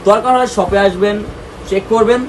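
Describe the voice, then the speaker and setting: Fast, high-pitched Bangladeshi man presenting products. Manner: talks to viewers directly, peppers speech with English words and check-in tags. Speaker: shop presenter showing products. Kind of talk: casual promotional product showcase. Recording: clearly noisy, ambient background sound.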